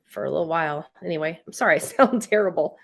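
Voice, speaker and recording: A woman's voice: low voice, fast, creaky. Woman host with a head cold, congested, rambling, laughs a lot. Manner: chatty, rambling, laughs often. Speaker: live stream host. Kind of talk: casual live stream chat, reading viewer comments.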